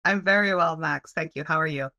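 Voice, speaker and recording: A woman in her thirties from Canada, chatting as a podcast guest over a video call on a headset, friendly and quick to laugh. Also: sits low, creaky, pushed and bright. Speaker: guest, welding program head. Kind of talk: casual interview chat. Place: home room, video call.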